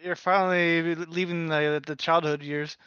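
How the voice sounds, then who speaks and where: Man, higher bright smooth voice, dry teasing, lo-fi mic. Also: little pitch movement, unhurried, no room sound. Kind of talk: casual gaming podcast chat. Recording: dull lo-fi mic.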